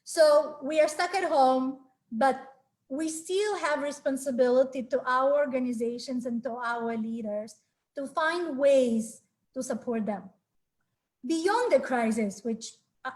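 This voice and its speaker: A high-pitched woman from Ukraine in her forties presents a webinar from a small room at home. She talks in a friendly, earnest way, often pausing on fillers and starting her sentences again. The sound comes through a laptop webcam mic on a video call. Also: darkish tone. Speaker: webinar speaker. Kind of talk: presenting a live webinar talk.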